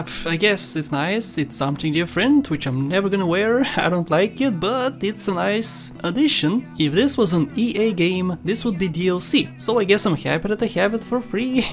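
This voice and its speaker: A man's voice: high-pitched, animated, moderate pace, few pauses. A young man speaking English with a Bulgarian accent, non-native and loose, joking his way through a game walkthrough. His talk rambles and he chuckles at his own gags. Faint game music plays underneath. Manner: jokey, rambling, laughs at his own jokes. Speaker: gameplay commentator. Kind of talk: playful walkthrough commentary.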